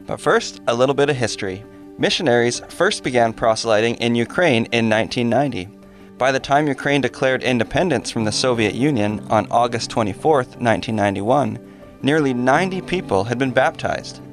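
A man co-hosting a podcast, reading scripted history in an even manner. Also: mid-range voice, fairly quick, soft background music. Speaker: podcast co-host.

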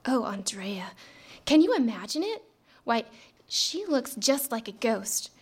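An actress playing a gossipy, weary 1950s office secretary in a live radio drama on a theater stage. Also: female voice, on the high side, big pitch swings, unhurried, slightly rough, full character voice. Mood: conspiratorial. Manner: chatty, gossipy.

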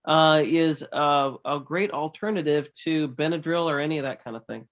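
A man co-hosting a radio health show, giving brief, measured answers with hesitations. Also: a little high, a bit bright, lo-fi sound. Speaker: radio show co-host, doctor. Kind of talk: casual radio health talk.

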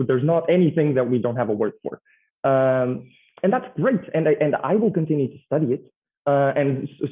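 A man speaking on a conference panel in a measured, reflective way. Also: a little high. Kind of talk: panel discussion. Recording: tinny phone-like line.

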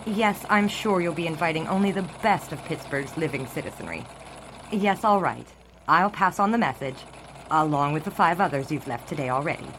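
An actress playing a brisk, long-suffering 1920s newspaper secretary in a period audio drama, dry, clipped and sarcastic. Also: female voice, low voice, animated, a bit bright, a little hiss or hum, rough recording. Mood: exasperated, polite.

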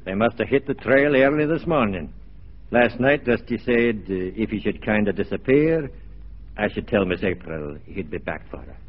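A husky, low-pitched frontier sidekick, casual and folksy in delivery. The sound is that of a muffled old radio drama. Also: male voice, animated, moderate pace. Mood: matter-of-fact. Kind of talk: 1940s radio drama acting. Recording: muffled 1940s radio recording.

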